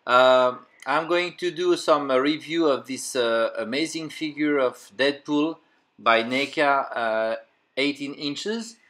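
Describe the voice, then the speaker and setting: A man's voice: medium pitch, slow, fairly bright tone. A middle-aged man with a French accent, French his first language, reviewing a toy in casual English with many fillers and restarts while he hunts for words. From France. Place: indoor room full of figures and display cases.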